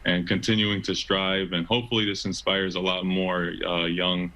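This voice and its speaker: A young American man in his twenties with a low, forceful, bright voice. He talks casually and earnestly as an interview guest, often feeling for his words. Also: little pitch movement, moderate pace, dull audio. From Chicago, United States. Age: 20s. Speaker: interview guest, stadium announcer.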